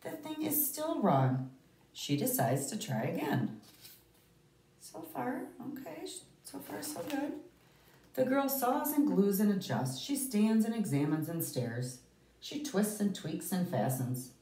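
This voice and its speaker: Echoey, expressive woman reading to children. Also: medium pitch, takes it slowly. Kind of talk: expressive picture-book read-aloud with asides to children. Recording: roomy, echoey, distant microphone.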